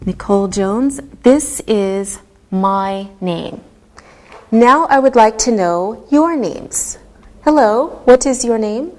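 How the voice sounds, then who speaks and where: A woman teaching a beginner English lesson, speaking deliberately and enunciating every word clearly. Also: on the high side, expressive, a little mellow. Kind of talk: slow, scripted ESL lesson delivery.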